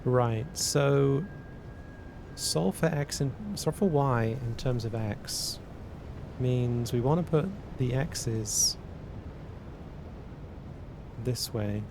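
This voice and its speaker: A man streaming casually, answering chat and working through math aloud in a halting way that repeats words. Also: gravelly. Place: cold indoor room. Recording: noisy with a low rumble.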